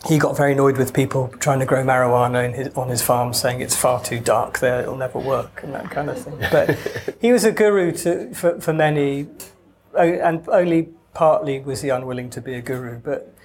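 A man's voice: mid-range voice, a little fast, a little mellow. Wry, rambling Welsh-born man. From Wales. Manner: wry, digressive, with hesitant restarts. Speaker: author guest. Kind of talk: casual interview conversation.

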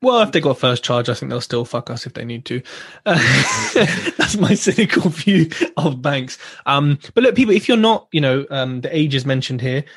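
A man's voice: mid-range voice, lively intonation, moderate pace, husky. A man hosting a podcast, talking bluntly and swearing now and then in casual chat. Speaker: podcast host.

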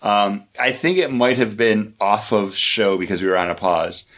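Excited low-voiced man chatting. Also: moderate pace. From lives in Orlando. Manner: excited, filler-heavy storytelling. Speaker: podcast co-host. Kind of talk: casual chat.

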